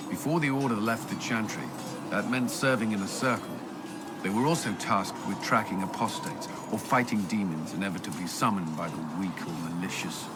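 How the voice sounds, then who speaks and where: An actor playing an earnest, reserved military commander and former templar knight in a video game, speaking in a formal, measured way. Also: male voice, on the low side, natural voice. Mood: serious, earnest. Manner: formal, measured, awkwardly hesitant when flustered. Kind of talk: video game character dialogue. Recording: loud music behind the voice. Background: music.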